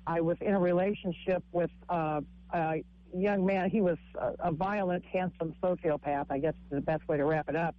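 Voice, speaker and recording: A woman with a husky voice, a comic and author telling her story haltingly in a radio interview, with frequent restarts. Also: fairly even intonation, lo-fi sound. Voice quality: husky, low-sounding voice. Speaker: guest author and stand-up comic.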